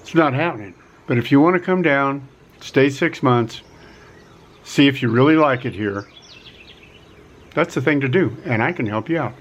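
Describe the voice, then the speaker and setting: A middle-aged man talks straight to the camera in a folksy, repetitive way, leaning hard on key words. His voice sits at an ordinary middle pitch. The recording is a little dull and lo-fi. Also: moderate pace, pauses often. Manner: folksy, repeats himself, emphatic rises on key words. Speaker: vlogger offering relocation advice. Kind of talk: casual to-camera vlog pitch. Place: quiet rural home.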